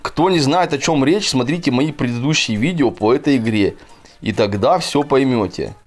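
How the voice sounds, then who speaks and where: A man's voice: medium pitch, lively intonation, moderate pace. A man giving casual, chatty gameplay commentary for children, often addressing his viewers directly. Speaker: gaming video host. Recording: game music behind the voice.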